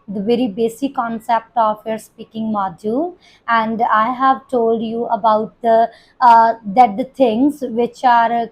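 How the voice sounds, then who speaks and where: A young woman teacher with an Indian English accent carrying a Punjabi touch talks students through exam tips. Her voice sits high. She pauses on frequent fillers and breaks off mid-word to restart. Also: rough recording. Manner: hesitant, many fillers and restarts. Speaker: IELTS coaching teacher. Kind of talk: spoken lesson to students.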